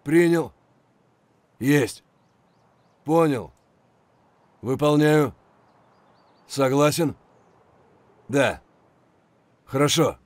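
An actor playing a gruff, foul-mouthed Russian soldier with a husky voice, speaking in terse phrases for a military shooter game. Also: male voice, fairly high pitch, expressive, very slow, long pauses, darkish tone, no room sound. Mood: terse, businesslike.

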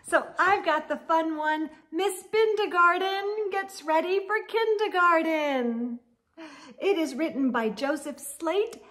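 A middle-aged woman reading a picture book aloud to children in an animated, sing-song storyteller manner. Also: high voice. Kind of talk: expressive children's read-aloud. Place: indoor room lined with bookshelves.

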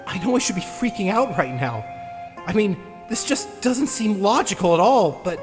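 Man doing earnest young comic hero, high voice, swoops around, music under it. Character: cocky street vigilante Casey, and gentle bewildered young turtle. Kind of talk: dramatized comic dub.

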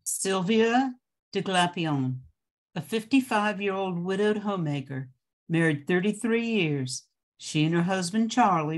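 An older woman reading a scripted character introduction for an amateur radio play. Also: fairly low pitch.

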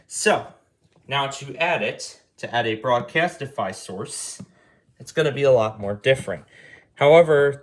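A man's voice: mid-range voice, fairly bright tone. A man giving a casual step-by-step tutorial, often repeating and rephrasing himself as he explains. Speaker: tutorial host. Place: indoor room at a table.

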